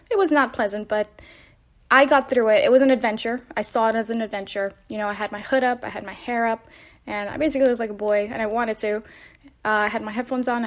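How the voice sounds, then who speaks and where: A woman hosting a podcast, casually telling travel stories in a chatty, rambling way with frequent fillers and light laughs. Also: a little high. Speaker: podcast host. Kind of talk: casual travel storytelling monologue.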